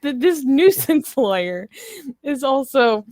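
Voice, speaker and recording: A woman's voice: high-pitched, big pitch swings, slow pace, a little mellow, no room sound. Chatty woman, dry studio-like sound. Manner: informal, chatty, full of asides. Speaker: talk show co-host. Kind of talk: casual chat about news.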